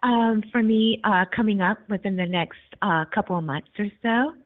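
A woman's voice: medium pitch, moderate pace. Shy giggly woman in her sixties on a phone. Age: 60s. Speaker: caller. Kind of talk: phone-in call. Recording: tinny phone line.

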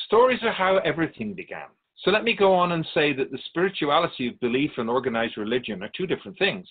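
A man playing a wise spirit who keeps souls in a radio drama, teaching in measured explanations with pointed asides. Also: fairly high pitch, very animated, narrow, tinny audio. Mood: patient, instructive. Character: ancient male spirit Keeper of Souls, wise storytelling teacher. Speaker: interviewed guest. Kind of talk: scripted radio drama dialogue.

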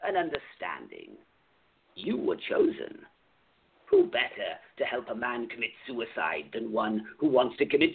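A sinister club president in a radio drama, grandiose and menacing. His gravelly, husky voice swoops wildly between low and high as he leans on his words. The sound is thin, like a phone line. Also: heavily acted. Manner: theatrical, menacing, drawn-out emphatic delivery. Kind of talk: radio play acting.

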